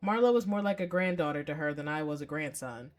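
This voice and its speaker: A brooding young man from a visual novel, read by a woman, slightly low in pitch. He is lovelorn and bitter, with wistful, sardonic self-pity. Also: expressive, fast.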